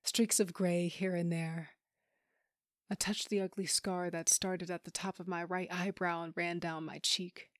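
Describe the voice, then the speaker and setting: Actress as low-voiced broken widow. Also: female voice, little pitch movement, measured pace, no room sound, clean studio sound, lightly acted. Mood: bitter, numb. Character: grieving, bitter, emotionally broken widow and monster hunter. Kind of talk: first-person audio drama narration with acted scenes.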